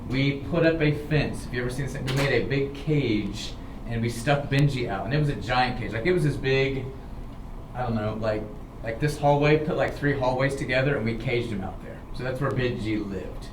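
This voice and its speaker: Man, American accent, animated storyteller, informal and dramatic, echoey room, distant microphone. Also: mid-range voice, normal speed. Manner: animated, dramatic, repeats "okay" to check in. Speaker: storyteller, show host. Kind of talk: informal personal storytelling to listeners. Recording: roomy, distant, clearly noisy recording.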